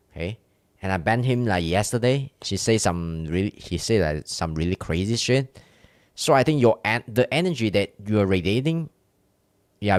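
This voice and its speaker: A man's voice: low voice, no room sound. A man with a Chinese accent, a streamer chatting casually with his viewers, rambling and candid with many restarts. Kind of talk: casual live-stream chat with chat.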